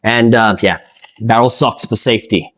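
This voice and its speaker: A man with a South African accent giving casual, unscripted interview answers in a hesitant, halting way, often repeating words. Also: fairly low pitch, steady tone, a bit bright. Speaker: interviewee, amateur speedsoft player and organiser.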